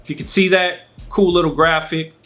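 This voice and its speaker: A man's voice: fairly high pitch, steady tone, brisk, fairly bright tone, faint music behind. A man from Los Angeles with a Californian accent gives casual instructional advice to camera as a host, speaking emphatically in repeated phrases and checking in with the listener. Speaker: host, clothing brand owner giving marketing advice.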